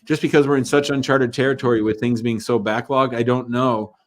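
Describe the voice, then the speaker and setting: A man hosting a live call-in show, answering callers' questions in a relaxed, thinking-aloud way. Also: medium pitch, brisk. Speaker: immigration show host.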